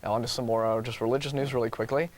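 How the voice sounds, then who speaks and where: A man's voice: mid-range voice, steady tone, rapid-fire. An American man co-hosting a talk show, reading out news items with casual, wry and mocking asides. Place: TV studio.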